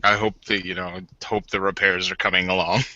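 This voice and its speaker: A man's voice: sits low, level tone, a little fast, pushed and bright, no room sound. A man playing a tabletop roleplaying game, bantering casually and narrating in a jokey, repetitive way. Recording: dull muffled lo-fi audio.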